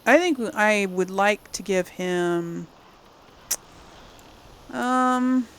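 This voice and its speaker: A woman with a creaky, mid-pitched voice talks through her game in a casual, chatty way. She thinks aloud as she goes. Some background noise sits behind her. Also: very slow, a bit bright. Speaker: gaming channel host playing through a game. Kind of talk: casual let's-play commentary.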